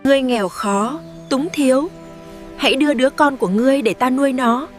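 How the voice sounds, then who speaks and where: A woman's voice: sits high, a little fast, faint music behind, lightly acted. A woman voicing a regal heavenly mother in a storybook, caring but stern, with a solemn, commanding manner. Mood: solemn, offering.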